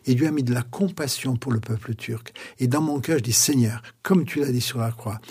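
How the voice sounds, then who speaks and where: Older man, pastor, husky, mellow, earnest and reflective, radio interview. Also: medium pitch. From France. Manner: earnest, reflective, preacherly pauses.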